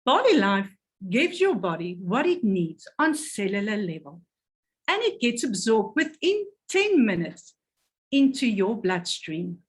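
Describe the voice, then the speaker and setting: A woman's voice: mid-range voice, very animated, unhurried. A woman presenting a health product, reading carefully and stumbling over technical words.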